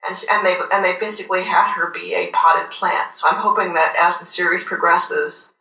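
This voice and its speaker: A woman in casual TV review chat, speaking deliberately and emphatically, repeating phrases for effect. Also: fairly low pitch, fairly even intonation, sharp tone. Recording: echoey, roomy sound.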